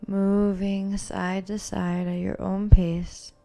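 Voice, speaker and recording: A woman leads a yoga class in a soft-edged, mellow voice with noticeable vocal fry. Her delivery is calm and very even in pitch, each instruction gently cued. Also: slow, faint music behind. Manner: calm, soothing, gently cueing. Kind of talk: guided yoga and meditation instruction.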